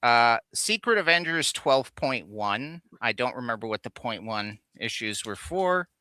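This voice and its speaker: A man's voice: mid-range voice, big pitch swings, unhurried, bright, forceful tone, clear, resonant tone, no echo. A man co-hosting a casual geek livestream, rambling enthusiastically as he shows things off. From Canada. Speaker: co-host of a geek culture livestream. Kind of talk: casual chat, showing off finds.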